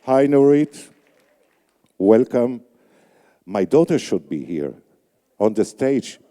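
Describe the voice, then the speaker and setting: Slow, deep-sounding older man. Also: very animated, mellow. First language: Yiddish. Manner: deliberate, halting with fillers. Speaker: radio announcer hosting a conference. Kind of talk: emcee remarks on stage. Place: large conference hall. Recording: reverberant hall.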